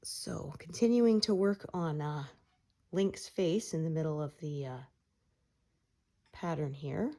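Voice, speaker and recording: A woman with a low voice in easygoing, rambling chat, plenty of "uh"s as she goes. She is middle-aged and still getting over a cold, so her voice sounds a touch stuffy. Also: slow pace, long pauses. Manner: relaxed, meandering, frequent fillers. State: recovering from a cold, slightly congested. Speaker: cross-stitch vlogger. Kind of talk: casual chat while stitching.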